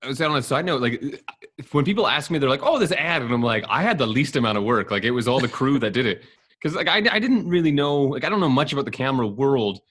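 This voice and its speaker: A man's voice: medium pitch, lively intonation, fast, a bit bright. A young man chatting casually about acting in an ad, rambling and self-deprecating with many fillers. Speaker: actor in the ad. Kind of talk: casual chat.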